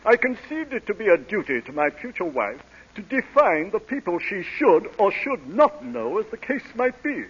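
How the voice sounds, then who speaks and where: A man's voice: sits high, big pitch swings, moderate pace, fairly bright tone, husky, heavily acted. A man acting a pompous, flustered older suitor in an old radio comedy, stammering and hesitant. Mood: self-righteous. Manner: hesitant, stammering, self-righteous. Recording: muffled vintage radio broadcast.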